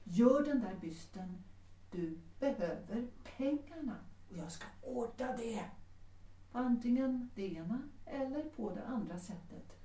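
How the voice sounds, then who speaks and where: A woman's voice: a little high, animated, warm tone, natural voice. A woman playing a proud, resolute middle-aged widowed mother in a 1930s audio drama, composed, formal and commanding. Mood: urgent, insistent. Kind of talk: Swedish audio drama acting. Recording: roomy, distant from the mic.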